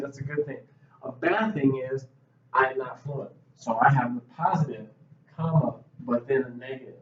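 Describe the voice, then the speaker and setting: A man teaching English grammar at a whiteboard, explaining slowly and patiently with frequent checks of "okay". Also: mid-range voice, a little mellow. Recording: roomy, distant, dull classroom audio.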